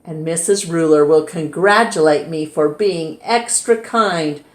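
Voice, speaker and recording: Little mischief-maker boy, read by a woman, soft and slow. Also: sits low. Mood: wistful. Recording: roomy echo.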